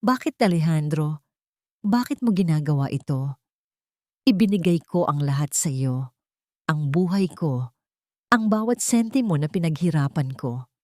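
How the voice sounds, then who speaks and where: A betrayed Filipino wife, voiced by a woman narrator, pleads with wounded devotion. Her voice is low for a woman, in a dry audiobook recording. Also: lively intonation, measured pace, pauses often, a little mellow, no room sound. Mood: anguished pleading.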